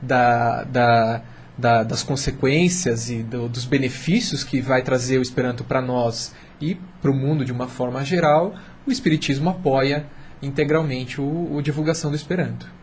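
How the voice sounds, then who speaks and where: A man's voice: mid-range voice, steady tone, moderate pace. A Brazilian man commenting as a radio panel guest, explaining carefully while searching for words. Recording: faint background noise.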